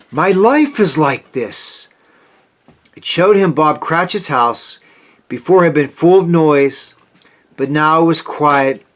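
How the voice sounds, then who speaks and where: A man reading a children's story aloud as a scripted narration, with expressive, dramatic delivery. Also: on the high side.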